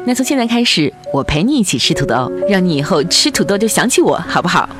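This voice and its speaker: An actress playing a sweet, caring young girlfriend in a radio drama, gentle and playful. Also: on the low side, big pitch swings, fast. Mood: playful, affectionate. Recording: music behind the voice.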